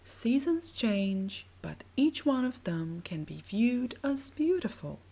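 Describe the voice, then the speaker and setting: A woman narrates a sleep meditation in a soft, breathy voice with a mellow, dark tone. She speaks slowly and soothingly, with lively rises and falls in pitch as she gently guides the listener. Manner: soothing, measured, gently instructing.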